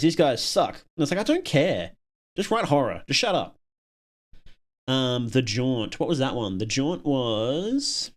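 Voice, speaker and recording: A man hosting a casual livestream, chatting to viewers and thinking aloud in a rambling, opinionated way. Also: mid-range voice. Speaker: livestream host.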